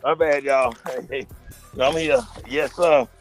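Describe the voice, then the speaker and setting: A man's voice: medium pitch, fairly even intonation, unhurried, faint music behind. A man joining a talk show as co-host, playful and joking. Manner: playful, laughing, teasing. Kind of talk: joking banter.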